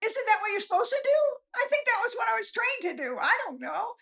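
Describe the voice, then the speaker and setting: A woman with a strikingly high, bright voice that crackles with creak. She is a guest on a talk, chatting casually. She tells stories in an animated, folksy manner. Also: fast talker. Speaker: author guest, novelist. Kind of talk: casual chat, storytelling.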